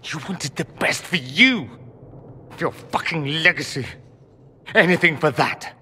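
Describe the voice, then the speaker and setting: A man's voice: fairly high pitch, lively intonation, a little slow, bright, forceful tone, a little hiss or hum, full character voice. An actor playing a proud, wounded nobleman mage in a video game, articulate and sarcastic in a theatrical way. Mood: bitter anger. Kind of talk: dramatic video game acting. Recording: long reverb, music behind.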